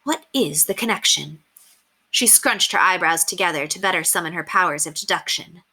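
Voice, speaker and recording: A woman reading a children's book aloud as an expressive, emphatic storyteller. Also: fairly low pitch, moderate pace. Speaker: read-aloud reader.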